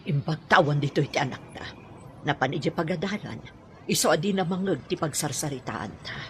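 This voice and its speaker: A watchful female schemer in a radio drama, with a Filipino accent. The voice is forceful and bright, very animated, with faint ambience behind it. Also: sits low, fairly quick, slight background noise. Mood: insistent.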